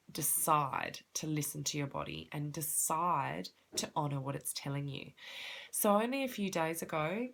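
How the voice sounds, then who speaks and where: Woman, stuffy sniffly nose, deep pitch, heavy vocal fry, earnest personal wellness talk. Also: a bit bright. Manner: earnest and heartfelt. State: congested, sniffly. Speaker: wellness vlogger. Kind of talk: personal reflective talk to camera.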